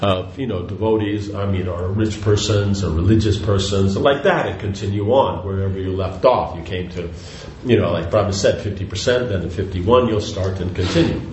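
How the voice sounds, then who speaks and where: Older man, low-pitched, devotional lecture, rambling, echoey room, faint hiss. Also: fairly quick, few pauses, a little mellow. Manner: rambling, conversational, frequent "you know" and restarts. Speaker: spiritual teacher.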